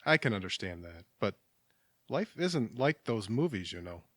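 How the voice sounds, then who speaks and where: An actor playing a mild, kindly businessman in a naturalistic audio drama, talking in a gentle, measured, slightly hesitant way. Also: on the low side, sing-song, no echo, clean studio sound. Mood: patient, sympathetic. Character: mild, settled, kindly businessman, a patient listener.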